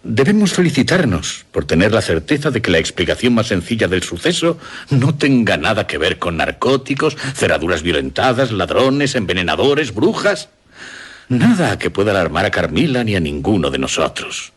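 An older Castilian gentleman, a father and master of a castle in a radio play. His voice is very low and husky, with lively swoops. He explains things formally and deliberately, always courteous and reassuring. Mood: relieved, fond. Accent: Castilian Spanish. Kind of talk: radio drama acting.

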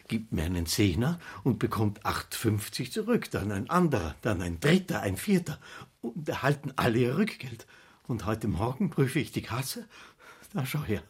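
A nervous little buffet manager with a very husky, rough voice that swings widely up and down. He is flustered, apologetic and plaintive, in radio drama style. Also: on the low side, full character voice.

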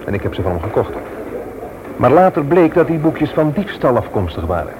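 Radio drama: man acts indignant, no-nonsense brother-in-law, animated intonation, heard through heavy recording noise. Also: mid-range voice, fairly quick, lightly acted. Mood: grave, indignant. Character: stern, indignant brother-in-law who won't be fooled. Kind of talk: Dutch radio play acting. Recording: very noisy old radio play recording.

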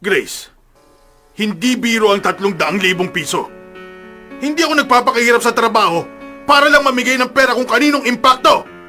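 Stern father, bright forceful voice. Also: very high voice, lively intonation, normal speed, faint music behind, full character voice. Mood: angry, scornful. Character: stern, resentful middle-aged father with a secret. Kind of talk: radio drama acting.

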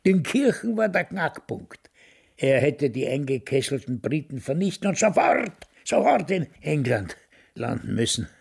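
An actor playing a stubborn, self-important very old man in a radio drama, with a husky voice and a rambling, repetitive way of talking. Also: fairly high pitch, big pitch swings, unhurried, darkish tone, full character voice. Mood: stubbornly insistent. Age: older. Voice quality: husky, gravelly. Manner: pompous, rambling, repeats phrases.

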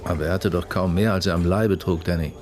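An actor playing a sober, persistent police detective in a radio crime drama, speaking in a terse, probing way. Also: male voice, sits low, fairly even intonation, fast, lightly acted. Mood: probing, impatient. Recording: prominent music behind the voice.

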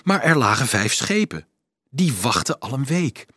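A man's voice: medium pitch, big pitch swings, normal speed, pushed and bright, husky, dry recording, clean studio sound, heavily acted. A man voicing an ashamed, anguished medieval monk with a pained, pleading manner in a dramatised audiobook. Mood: grave, agitated. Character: ashamed, anguished male medieval monk confessing a grim plot.

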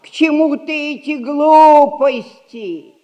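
An actress playing a haughty, commanding old merchant matriarch in a theatrical 1960s audio play. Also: female voice, high-pitched, dark tone. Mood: imperious. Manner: slow, commanding, self-important speech. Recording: reverberant hall, 1960s theatre recording.

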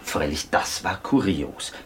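An actor playing a courteous, talkative Viennese man in a radio crime drama, with an Austrian accent and a halting, deferential way of telling things. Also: extremely low pitch, animated, slight background noise, heavily acted. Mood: gossipy. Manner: halting, deferential, chatty storytelling. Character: worried, talkative, courteous petit-bourgeois Viennese male cousin.

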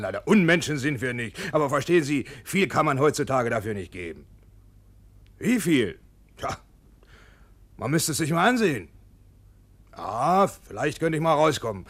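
An actor playing a grasping, grudging property dealer on the telephone in a 1950s German radio play, fussy, dismissive and repetitive. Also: male voice, mid-range voice, fairly bright tone.

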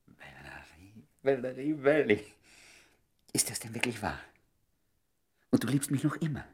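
A man's voice: mid-range voice, sing-song, measured pace, husky, heavily acted. An actor playing an ardent, devoted man wooing an old love in an intimate German radio drama, urgent and often murmuring. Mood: elated.

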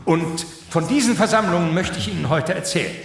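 An actor playing a solemn older male professor in a radio play, speaking formally, like an orator, about guilt and dark powers. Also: fairly high pitch, expressive, brisk, gravelly. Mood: solemn, confessing. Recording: echoey hall, distant, faint noise.

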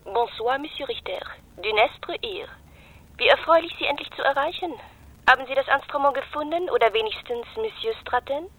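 Formal, husky, bright-voiced Frenchwoman. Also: sits high, expressive, muffled recording, heavily acted. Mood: coolly insistent. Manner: formal, measured, polite but insistent. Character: formal, imperious French businesswoman on the telephone. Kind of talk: radio drama acting.

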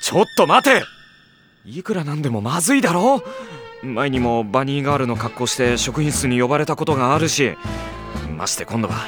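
A man playing a sardonic, long-suffering high-school boy who narrates and argues dryly in an anime audio drama. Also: brisk, natural voice. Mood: exasperated objection. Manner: dry, wry, deadpan delivery. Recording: music behind the voice.

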